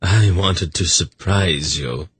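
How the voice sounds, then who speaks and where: Sly rogue read by a male narrator, drily amused, casual, unhurried, rough breathy low voice, fantasy storybook. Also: a bit bright, dry recording, heavily acted. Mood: wry, amused. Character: sly, wry, weasel-faced man, amused.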